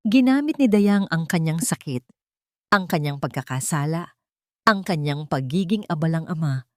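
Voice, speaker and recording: Lowish-voiced woman narrating dramatically. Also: lively intonation, moderate pace, no echo, clean studio sound. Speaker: storyteller.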